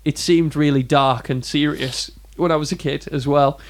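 A man's voice: a little high, fairly even intonation, moderate pace. Wry, joking man in his thirties. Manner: wry, joking asides, laughs often. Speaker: podcast co-host. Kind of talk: casual chat.

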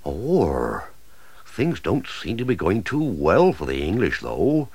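A man's voice: low-pitched, wildly swooping pitch, slow pace, darkish tone, breathy edge, dry recording, pitched below the performer's own voice, exaggerated voice. A man voicing a pompous, indignant old male English oak tree, blustering and grumbling in a storybook monologue. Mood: fretful. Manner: blustering, grumbling, swings from lofty to panicky.

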